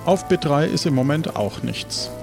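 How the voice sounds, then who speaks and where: A man improvising as game master of a role-playing game, narrating haltingly and searching for words. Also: a little high, animated, vocal fry, music in the background.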